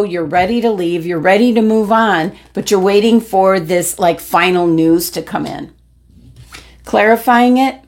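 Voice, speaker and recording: Tarot-reading woman, fading voice. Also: mid-range voice. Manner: gentle, meandering, many ums. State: losing her voice. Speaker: tarot reader. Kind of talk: weekly horoscope tarot reading.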